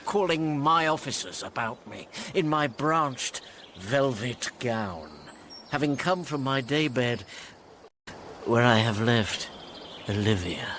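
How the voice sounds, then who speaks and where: A man's voice: medium pitch, animated, slow pace, a bit bright, slight background noise. An actor playing a pompous, self-deluded steward in a Shakespearean radio comedy, savouring his grand fantasies theatrically. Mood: pompous daydreaming.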